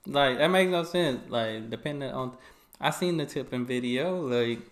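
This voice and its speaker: A man hosting a reaction video, talking casually with loose phrasing and quick agreeing interjections. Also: mid-range voice. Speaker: reaction channel host.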